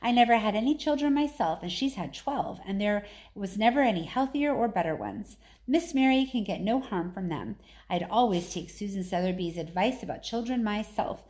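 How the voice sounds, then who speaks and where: A woman's voice: medium pitch, lively intonation, normal speed, few pauses, a little mellow, natural voice. A woman voicing a sensible, respectful, chatty housekeeper in a storybook reading. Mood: relieved, chatty. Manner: respectful, plain-spoken.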